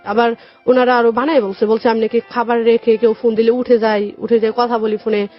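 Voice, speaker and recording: A woman's voice: a little high, little pitch movement, brisk. A young woman with a Bangladeshi Bengali accent telling her life story in a colloquial way as a guest in a radio interview, often retelling conversations as dialogue. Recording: dull lo-fi radio audio. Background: music.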